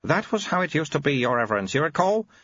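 A man voicing a very old monk who speaks in a calm, patient and dryly humorous way. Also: fairly high pitch, fast talker, breathy edge, dull audio, pitched above the performer's own voice, exaggerated voice. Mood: calm, wry.

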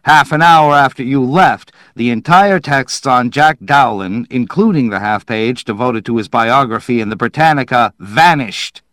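A man's voice: low-pitched, animated, slow, few pauses, a bit bright, no echo, full character voice. Man acting a stern, biting, accusing boss. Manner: biting, emphatic.

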